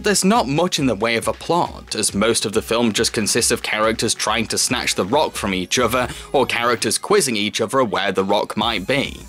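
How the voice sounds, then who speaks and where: A man's voice: mid-range voice, a bit bright. Male film reviewer narrating over music. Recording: music under the voice.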